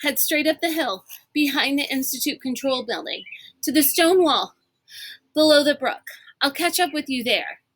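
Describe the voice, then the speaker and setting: Bossy young adventure girl played by a woman reader, high, bright and breathless, urgent storybook delivery. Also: normal speed, dry recording, poor audio. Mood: urgent, commanding. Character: brisk, exasperated, athletic young girl heroine.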